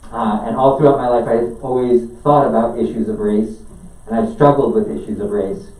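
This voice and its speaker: Halting man in an echoey meeting room. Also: mid-range voice, fairly even intonation, mellow, recorded from a distance. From Peru. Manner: halting, many fillers and restarts. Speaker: parent speaking at public comment. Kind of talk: public comment at a school board meeting. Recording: echoey room, picked up from afar.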